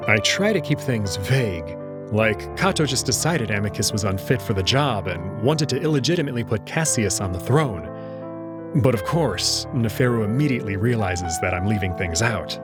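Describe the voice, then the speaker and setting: A man's voice: on the low side, lively intonation, moderate pace, over loud music. A man reading a first-person story as narrator in a dramatic storytelling style. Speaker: narrator and reader.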